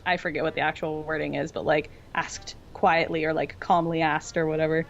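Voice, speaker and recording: A woman's voice: sits low, little pitch movement, brisk, vocal fry. A woman co-hosting a podcast in casual, wry, informal discussion. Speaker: podcast co-host. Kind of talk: casual pop culture discussion. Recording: dull, noisy room recording.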